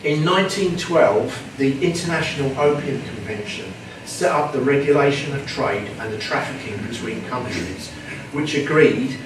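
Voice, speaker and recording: A man giving an academic conference talk in a measured way, sometimes repeating words. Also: medium pitch. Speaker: conference presenter. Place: conference room. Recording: echoey hall with background noise, mic at a distance.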